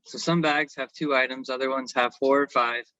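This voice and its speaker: A man's voice: medium pitch, fairly even intonation, moderate pace, bright, forceful tone. A man giving a semi-scripted technical presentation as an engineering consultant, on a slightly dull recording.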